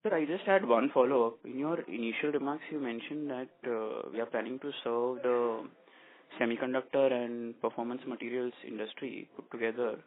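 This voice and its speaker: A man's voice: medium pitch, normal speed. Male analyst on tinny phone line. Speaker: investment analyst asking questions. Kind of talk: formal conference call question.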